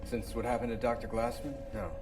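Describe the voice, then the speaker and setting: A man's voice: mid-range voice, level tone, a little fast, close to an everyday voice. An actor playing an earnest male warship captain in a science-fiction game, urgent and commanding under pressure. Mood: tense, measured. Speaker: ship's captain. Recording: echoey, distant, loud music behind.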